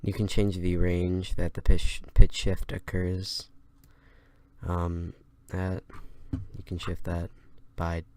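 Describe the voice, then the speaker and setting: A man giving a casual, unscripted software tutorial in a hesitant, halting way. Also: low-pitched, completely flat delivery, smooth. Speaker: tutorial host.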